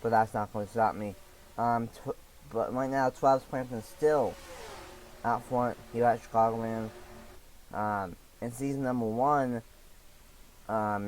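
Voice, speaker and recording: A young man commentates a sim race lap by lap, his voice a little raspy from allergies. He talks in halting bursts full of fillers and restarts, over a faint hum of ambience. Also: on the low side, steady tone, a little mellow, low-quality recording. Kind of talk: play-by-play sim racing commentary.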